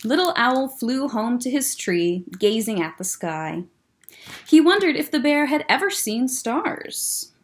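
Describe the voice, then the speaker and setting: Expressive woman reading a picture book. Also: a little high, unhurried. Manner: gentle, expressive, pointing out pictures. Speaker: children's librarian.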